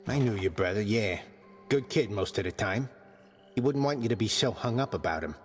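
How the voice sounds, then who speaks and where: An actor playing a gruff, joking male skeleton commander who trains fighters and mixes lofty old words with slang, delivered deadpan. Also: fairly low pitch, a little fast, big-room sound, soft background music, natural voice. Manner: deadpan jokes mixing archaic and slang words. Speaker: combat trainer.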